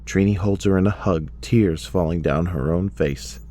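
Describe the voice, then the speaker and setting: A man's voice: low voice, moderate pace, warm tone. A man reading scripted dramatic narration as the narrator of an audio drama.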